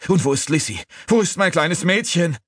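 A man voicing a distraught gentleman, a commanding and urgent father and master of the house, in an audiobook. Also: very deep voice, very animated, takes it slowly, slightly rough, no echo, clean studio sound, exaggerated voice. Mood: panicked demanding. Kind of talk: audiobook character voice.

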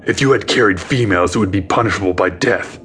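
A man's voice: on the low side, fast, fairly bright tone, soft background music, pitched below the performer's own voice, lightly acted. A man voicing a stern, commanding male alien emperor in an audiobook. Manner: cold, commanding.

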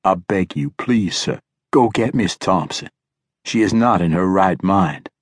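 A man playing an ancient, courteous old man who speaks gravely, formally and deliberately. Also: low voice, dry recording, studio quality. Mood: polite pleading. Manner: formal, deliberate, polite, no contractions.

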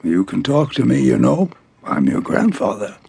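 A man playing a gentle, doting elderly grandfather in an audio drama, folksy, with halting exclamations and sighs. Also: mid-range voice, sing-song, gravelly, breathy edge, exaggerated voice. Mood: gently concerned. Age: older.

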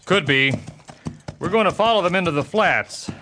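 An actor playing a steady, determined Texas Ranger with a terse, resolute manner in a Western audio drama. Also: male voice, high-pitched, normal speed, slight background noise. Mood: measured, intent.

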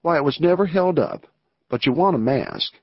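A man's voice: fairly high pitch, animated, normal speed, husky, dry recording, pitched above the performer's own voice. A man voicing a greedy, scheming male rancher who talks eagerly and pushes his plan in an audiobook. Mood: urgent plotting. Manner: eager, pressing. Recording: dull lo-fi audio.

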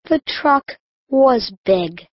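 A woman voicing a dreamy young pig in a children's audiobook, reciting slowly and deliberately. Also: fairly high pitch, lively intonation, dry recording, heavily acted. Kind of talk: audiobook character acting. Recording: dull, lo-fi audiobook sound.

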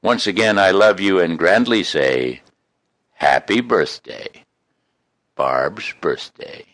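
A man reading his own poems in an expressive, folksy, homespun way, with casual introductions between them. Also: deep voice, slow pace, no echo, studio quality. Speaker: poet reading own work.

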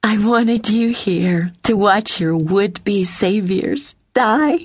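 A woman's voice: on the low side, animated, moderate pace, breathy edge. A woman voicing a sadistic, gloating female warship commander in a space audiobook, menacing and smug.

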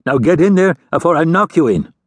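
A man voicing a gruff, bossy older fisherman with a put-on who barks orders. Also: a little high, expressive, brisk, dry recording, pitched above the performer's own voice. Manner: barking orders, scolding.

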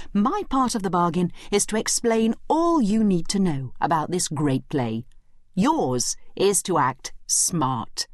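A woman narrates an educational guide in a slightly low voice with lively, swooping intonation. She is instructive and addresses the listener directly, in a very dry recording. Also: no echo.

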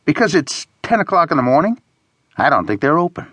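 A man's voice: on the high side, very animated, brisk, a bit bright, no echo, studio quality, pitched above the performer's own voice. A man voicing a grumpy, reluctant, sardonic traveler in audiobook dialogue. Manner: grumbling, sardonic.

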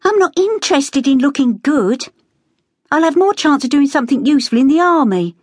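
A woman's voice: animated, a little fast, a little mellow, no room sound, studio quality. A woman doing an insistent nineteen-year-old girl. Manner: insistent, raised pitch. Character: young woman, nineteen, determined and outspoken.

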